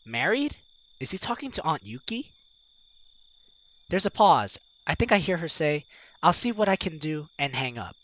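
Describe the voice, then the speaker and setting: Animated male gamer reading a story aloud. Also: a little high, moderate pace, long pauses, no echo, muffled recording. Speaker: host of a video game playthrough. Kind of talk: reading game narration and dialogue aloud, with casual asides.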